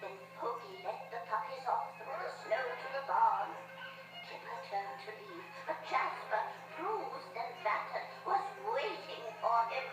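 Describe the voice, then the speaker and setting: A woman reads a children's storybook as a scripted narration, her high voice rising and falling dramatically with the telling. The sound is heavily echoey, with music playing behind her. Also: lively intonation, unhurried, far from the mic.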